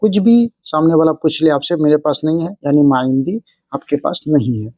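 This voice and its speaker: Mellow, higher-pitched man teaching phrases. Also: normal speed, no room sound. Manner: repetitive, explanatory, phrase-by-phrase delivery. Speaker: language teacher and video host. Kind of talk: instructional lesson with repeated example phrases.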